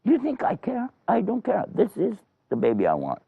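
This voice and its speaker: A man's voice: high voice, huge pitch swings, moderate pace, creaky, husky, no room sound, narrow, tinny audio, pitched above the performer's own voice, exaggerated voice. A man voicing an overjoyed, gushing queen who dotes on a baby, repeating herself as he tells a story. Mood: overjoyed, doting.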